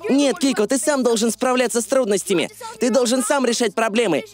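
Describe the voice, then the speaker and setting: A woman's voice: on the high side, brisk, close to an everyday voice. A woman voicing a confident, bossy young boy in a cartoon dub, speaking emphatically. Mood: commanding.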